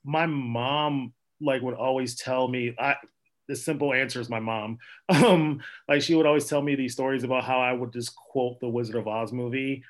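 A man's voice: mid-range voice, steady tone, normal speed. Chatty young man with a mid-range voice. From Minnesota, US. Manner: rambling, with many fillers and laughs. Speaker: podcast guest, actor and playwright. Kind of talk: casual interview chat.

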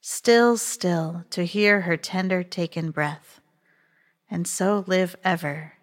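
A woman reciting a poem with measured, deliberate phrasing. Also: on the low side. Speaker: poetry reader. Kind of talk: poetry recitation.

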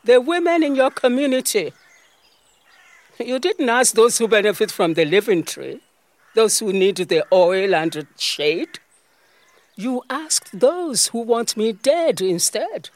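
A woman's voice: on the high side, lively intonation, slow. A woman playing an ancient talking olive tree in an audio drama, a stern, motherly spirit with a Nigerian accent and a grand, reproachful way of talking. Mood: accusing.

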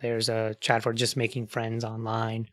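A man's voice: lowish voice, monotone, unhurried, a bit bright, dry recording. A man hosting a podcast, chatting casually and explaining things with asides and fillers. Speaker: podcast host.

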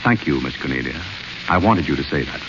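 An actor playing a polite, clever butler in a 1930s radio play, suave and understated. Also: male voice, extremely low pitch, expressive, a little fast. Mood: gentle. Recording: muffled old radio broadcast with faint hiss.

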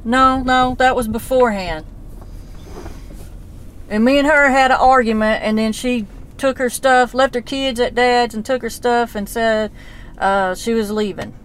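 A woman's voice: fairly high pitch, steady tone, unhurried, clean tone. A woman, questioning a man on a phone call in a blunt, folksy, persistent way. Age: 40s. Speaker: relative investigating a disappearance. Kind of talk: casual phone conversation.

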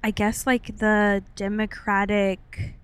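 A woman's voice: mid-range voice, flat delivery, slow pace, smooth, no room sound. A young adult woman co-hosting a podcast, chatting informally as she reads out and discusses news, often hedging as she goes. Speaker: podcast co-host. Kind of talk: casual chat, reading out and discussing news.

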